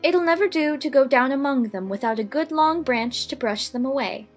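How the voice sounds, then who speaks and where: Woman as young storybook girl, rather high voice, wondering, polite, a little timid, faint music behind. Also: animated, moderate pace. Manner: wondering, talks to herself. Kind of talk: dramatized audiobook acting.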